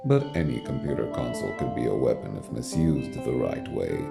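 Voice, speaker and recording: A man's voice: a bass voice, expressive, a little fast, vocal fry. A man narrating a scripted science fiction audio drama as a storyteller. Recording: music under narration. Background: dramatic score.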